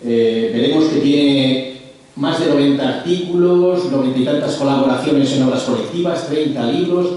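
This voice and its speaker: A man's voice: medium pitch, moderate pace, mellow, distant mic. A man introducing a lecture speaker formally, hesitating with many fillers and restarts. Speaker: lecture presenter. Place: large echoey lecture hall. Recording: echoey hall, speaker off-mic.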